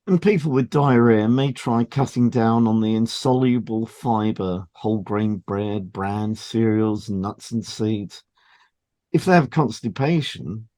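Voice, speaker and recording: A man's voice: fairly low pitch, dark tone, no room sound. A man co-hosting a health podcast, reading scripted advice in an explanatory, matter-of-fact way. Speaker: podcast co-host and hypnotherapist. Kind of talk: scripted educational narration.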